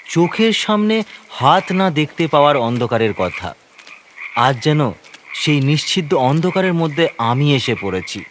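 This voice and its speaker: A male storyteller delivers dramatic horror narration with great suspense. His highish voice rises and falls expressively, with faint rain and noise behind it.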